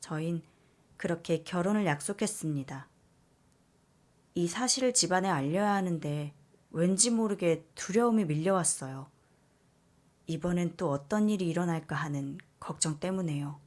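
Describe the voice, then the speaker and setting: Expressive woman reading a story aloud. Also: lowish voice, normal speed. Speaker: story narrator. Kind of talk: first-person read-aloud story narration.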